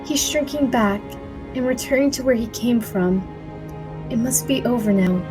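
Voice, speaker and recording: Gentle parent played by a woman for anime fan dub: fairly high, tender, deliberate, music behind. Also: lightly acted. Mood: relieved, calm. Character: gentle parent of a young guardian girl. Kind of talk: dramatic anime-style fan dub acting.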